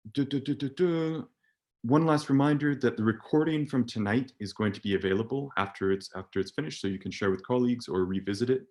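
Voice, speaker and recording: Low-voiced man moderating an online seminar. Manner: loose and conversational, with many fillers. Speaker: webinar moderator.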